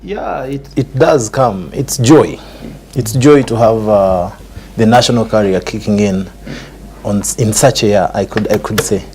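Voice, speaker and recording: A man's voice: on the low side, a little slow, darkish tone, breathy edge. A man with a Ugandan accent answering conversationally as an air traffic controller in an interview.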